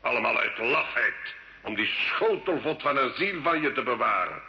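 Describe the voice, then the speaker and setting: A male actor playing a cunning, scornful sorcerer and alchemist, solemn, measured and theatrical, in an old radio drama. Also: mid-range voice, expressive, pushed and bright. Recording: dull, echoey, hissy old radio recording.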